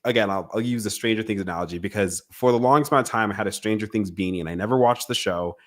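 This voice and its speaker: A man's voice: fairly low pitch, very fast, fairly bright tone. A man hosting a podcast, speaking in a casual, eager and curious way, often circling back over his phrases as he frames a question. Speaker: podcast host. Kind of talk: casual conversational interviewing.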